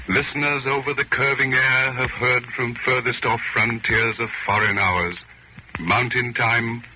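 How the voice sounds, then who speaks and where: Solemn man on dull, noisy old radio. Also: medium pitch. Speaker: radio announcer. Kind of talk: poetic 1930s radio drama narration.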